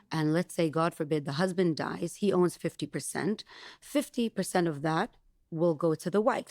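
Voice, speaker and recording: Lower-voiced woman attorney explaining. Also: darkish tone, dry recording, clean studio sound. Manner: explanatory, methodical, gives examples. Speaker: attorney guest. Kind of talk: expert interview explanation.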